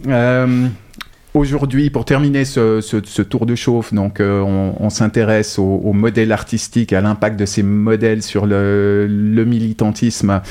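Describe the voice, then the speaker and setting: A male interview host asking questions in a measured way, searching for his words. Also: fairly low pitch, flat delivery, mellow. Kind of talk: radio-style interview questions.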